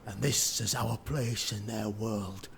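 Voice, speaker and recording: Man acting as captive soldier, heavy gravelly rasp, slow weighty delivery, resigned, bleak, fantasy audio drama. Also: fairly low pitch, fairly even intonation, slight background noise. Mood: grim, resigned. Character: grim, resigned male captive soldier awaiting execution.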